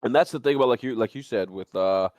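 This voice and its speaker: A man's voice: mid-range voice, fast, darkish tone, no echo. A man hosting a livestream, chatting casually in a rambling, slangy way with frequent swearing. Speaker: livestream host. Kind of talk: casual chat.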